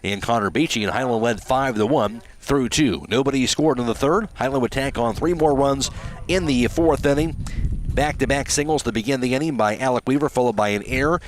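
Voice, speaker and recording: A man's voice: medium pitch, fairly quick. An American man working as a radio sportscaster, giving a post-game baseball recap.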